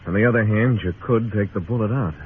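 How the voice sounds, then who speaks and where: An actor playing a tough, laconic frontier marshal in a radio western, speaking and narrating in a terse, deadpan way. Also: male voice, fairly low pitch, quick pace, slight background noise, natural voice. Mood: dry, wry. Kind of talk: old-time radio western acting and narration. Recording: tinny old radio recording with music.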